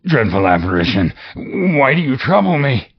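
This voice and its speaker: A man's voice: extremely low pitch, expressive, a little slow, breathy edge, exaggerated voice. A man voicing a frightened old miser who stammers and pleads with a trembling voice. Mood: terrified pleading. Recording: dull lo-fi recording with faint hiss.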